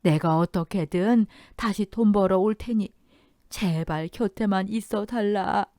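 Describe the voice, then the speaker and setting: A woman's voice: mid-range voice, measured pace, mellow, no room sound, studio quality, voice pushed up, close to an everyday voice. Woman voicing an elderly mother-in-law, trembling. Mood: trembling plea. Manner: trembling, breathless voice. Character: grieving elderly mother-in-law, worn yet fierce. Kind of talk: audio drama storytelling.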